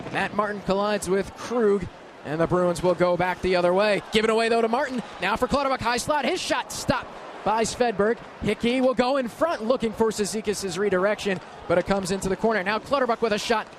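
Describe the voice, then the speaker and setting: A man calling live ice hockey play-by-play on the radio in an urgent, clipped, excitable manner. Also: high-pitched, a little fast. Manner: excitable, urgent, clipped running description of action. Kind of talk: live sports play-by-play commentary. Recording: radio broadcast with loud arena noise. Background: loud ambience of a hockey arena.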